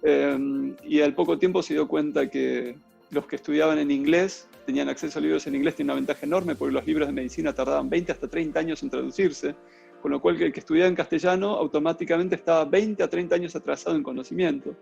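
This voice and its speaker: A man's voice: a little high, steady tone, fast, creaky, darkish tone, breathy edge, faint music behind. A middle-aged man with a Buenos Aires accent, telling his life story as an interview guest in a rambling way, full of fillers. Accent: Buenos Aires Argentine Spanish. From Argentina. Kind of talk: casual interview.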